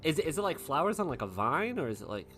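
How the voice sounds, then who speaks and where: An animated man plays a tabletop roleplaying game in casual, improvised chat. His pitch moves around a lot as he repeats words informally, with faint music behind him. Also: fast. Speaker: player in a tabletop RPG podcast.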